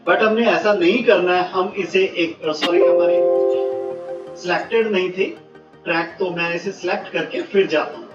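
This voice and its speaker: A man giving step-by-step software instructions, mixing in English technical words. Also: high voice, fairly even intonation, a little slow. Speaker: software tutorial presenter. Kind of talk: step-by-step screen tutorial. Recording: muffled, roomy, distant mic, faint music.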